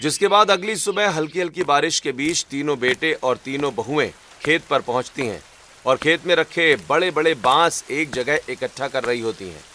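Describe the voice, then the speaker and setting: A man's voice: on the high side, moderate pace, sharp tone, slight background noise. A man reading scripted narration for a cartoon story as the narrator.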